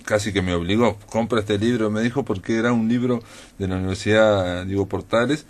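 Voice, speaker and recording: A male radio host talks through a relaxed interview on air. His voice sits on the low side, and he rambles, filling gaps and correcting himself as he goes. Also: brisk. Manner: rambling, many fillers and self-corrections.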